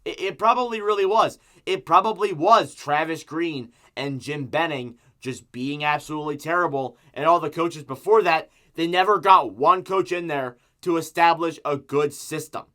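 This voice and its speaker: A man's voice: a little high, moderate pace, hardly any pauses, pushed and bright. A man with a sore throat from strep giving an emphatic, exasperated, opinionated sports rant as a fan making an online video. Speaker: hockey fan YouTuber.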